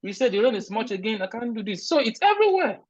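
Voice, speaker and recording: A man's voice: high-pitched, sing-song, fast, no room sound. A man from Nigeria with a Nigerian accent, answering interview questions in an earnest, emphatic way with frequent restarts. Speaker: interview guest, recent immigrant. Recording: dull, lo-fi sound.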